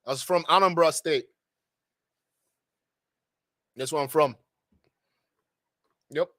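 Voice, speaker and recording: A young man from Nigeria hosting a live stream, giving an animated, preachy monologue with slangy asides. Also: on the high side, slow, dry recording, clean studio sound.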